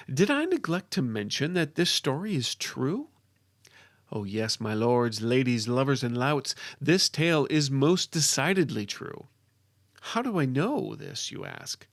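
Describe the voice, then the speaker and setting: A man's voice: mid-range voice, vocal fry, no echo, studio quality, heavily acted. A man playing a wandering minstrel who tells a fantasy tale in a grandiloquent, teasing manner, putting questions to his listeners. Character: theatrical wandering minstrel tale-teller, playful and knowing. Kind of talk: dramatic fantasy storytelling.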